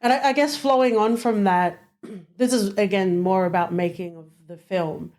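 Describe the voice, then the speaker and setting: A woman's voice: mid-range voice, normal speed, a little mellow. A woman hosting a podcast, asking thoughtful questions in a chatty way with many fillers. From Australia. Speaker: podcast host. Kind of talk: casual interview questions.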